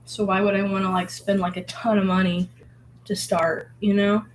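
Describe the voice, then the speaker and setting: A hoarse woman, sick at the moment, talking in a casual, rambling and reflective way, full of filler words, as she paints. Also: mid-range voice, flat delivery, moderate pace, slight background noise. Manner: rambling, filler-heavy, musing. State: hoarse from illness. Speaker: vlogger painting.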